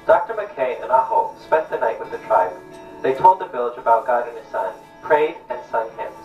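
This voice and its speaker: A man's voice: mid-range voice, expressive, normal speed. A man gives scripted narration for a puppet show in a mid-range voice. He sounds dull and distant in an echoey space, with music faintly behind him.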